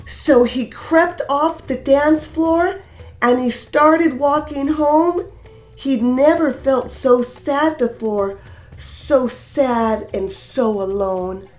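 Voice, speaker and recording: A woman reading a picture book aloud as a storytime host, expressive and sing-song as she talks directly to young children. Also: fairly high pitch, takes it slowly, faint music behind, low-quality recording.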